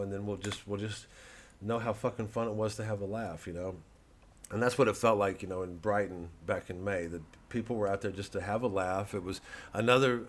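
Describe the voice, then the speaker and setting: Male comic, lowish register, loose off-the-cuff rambling, sardonic and halting, talks straight to the listener. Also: a bit bright. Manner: halting, digressive, peppered with "you know" and "man"; sardonic, mocking, profane when worked up. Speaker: comedian promoting his show. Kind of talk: rambling monologue to camera.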